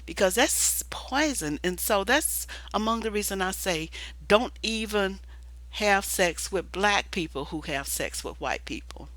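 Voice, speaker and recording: A hoarse woman who is losing her voice talks in a casual, rambling monologue, restarting often and filling pauses with "uh" and "um". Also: medium pitch, a bit bright, dry recording, poor audio. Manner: rambling, restarts with many fillers. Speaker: video commentator.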